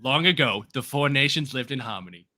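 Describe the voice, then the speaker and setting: A man chatting casually as a podcast guest over a video call, easygoing and quick to laugh. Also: medium pitch, lively intonation, bright, forceful tone, dry recording. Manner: laughs often, easygoing, lots of fillers. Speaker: guest content creator.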